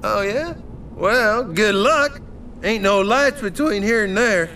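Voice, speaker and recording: A man's voice: sits high, expressive, takes it slowly, pushed and bright, slight background noise, full character voice. An actor playing a taunting, wisecracking male stranger who drawls and mocks. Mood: mocking.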